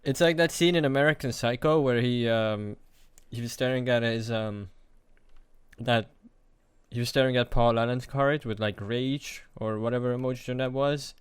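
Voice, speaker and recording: A young man in his late teens talking alone to the camera in a hesitant, halting, self-deprecating way, as a confessional vlog. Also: mid-range voice, fairly even intonation, clear, resonant tone, no room sound. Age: young adult. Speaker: vlogger.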